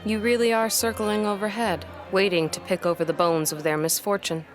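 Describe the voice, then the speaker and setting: An actress playing a reserved, keen-witted historian in a gothic audio drama, speaking formally with a dryly probing manner. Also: female voice, medium pitch, fairly even intonation, a little fast, faint music behind, lightly acted. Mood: guarded, reproving.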